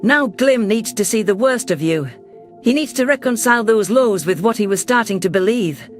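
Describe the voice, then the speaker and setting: A man takes on a caring female alien leader telling a science fiction story in the first person. The voice is pitched high, gentle and reflective, with quiet music underneath. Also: animated, normal speed. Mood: reassuring, firm. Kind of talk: first-person sci-fi story narration and dialogue.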